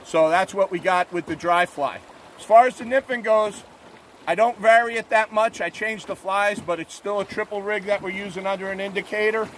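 High-voiced man reporting from a river boat. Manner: relaxed, plainspoken, filler-heavy. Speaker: fishing guide. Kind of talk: on-the-water fishing report. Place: outdoors on a drift boat on a river. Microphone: camera mounted on the boat at arm's length. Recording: faint water and outdoor noise. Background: rushing river water.

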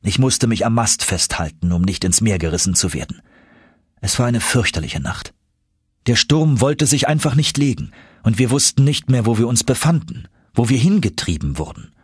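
Husky-voiced man narrating a dramatic audiobook. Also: medium pitch, moderate pace, no room sound, studio quality. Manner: expressive, suspenseful storytelling.

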